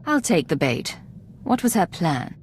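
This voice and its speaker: Cool, lowish-voiced female secret agent. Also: very animated, slight background noise. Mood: decisive. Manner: composed, dry, measured. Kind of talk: cinematic video game dialogue.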